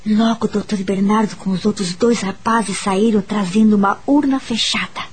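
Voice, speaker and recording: A woman's voice: medium pitch, steady tone, normal speed, a little mellow, husky, close to an everyday voice. An actress with a Brazilian accent playing a polite, timid young woman teacher who narrates her own story in a radio soap opera. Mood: reflective narration.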